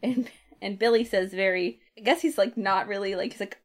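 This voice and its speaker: A woman's voice: mid-range voice, brisk. A woman hosting a podcast in a casual chat, retelling a comic playfully and acting out lines with little asides. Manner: playful, acts out quoted dialogue with asides. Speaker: podcast host.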